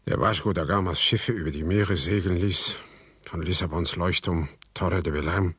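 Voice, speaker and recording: Casting: brooding fugitive storyteller for radio drama, rumbling bass, rough husky tone, measured delivery. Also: male voice, a bit bright, no room sound. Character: world-weary fugitive man telling his family saga.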